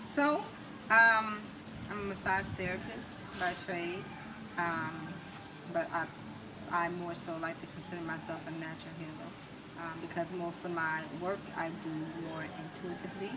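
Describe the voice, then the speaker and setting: A woman's voice: lowish voice, fairly even intonation, moderate pace. An American woman with a small voice, chatting casually as an interview guest. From United States. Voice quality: small, soft-projecting voice. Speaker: interview guest, natural healer and spoken word artist. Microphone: camera mic at a distance, no wireless mic. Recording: distant mic, noisy ambient background.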